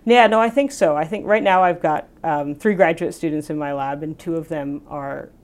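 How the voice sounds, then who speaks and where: Low-pitched woman, scientist guest, eager and explanatory. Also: moderate pace. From United States. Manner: enthusiastic, explanatory, frequent "you know". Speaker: scientist, professor. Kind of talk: interview answers.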